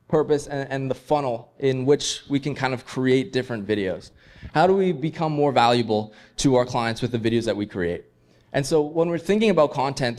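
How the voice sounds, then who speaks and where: A young man from Canada speaks from a convention stage in a casual, upbeat way. His pitch sits in an ordinary middle range, and he leans on easy fillers as he explains his ideas. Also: darkish tone. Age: 20s. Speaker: conference speaker. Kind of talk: casual conference presentation.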